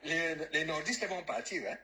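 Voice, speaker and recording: A man's voice: fairly high pitch, fairly even intonation, moderate pace, crowd noise. A Cameroonian man ranting about politics, mocking and scornful, and mixing in local-language phrases. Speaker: caller, diaspora activist. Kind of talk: heated political rant.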